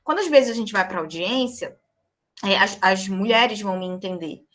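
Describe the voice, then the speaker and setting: A woman's voice: mid-range voice, normal speed, fairly bright tone. A young woman, a law lecturer and practicing lawyer, giving a class in an emphatic way and checking in with her students after each point. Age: young adult.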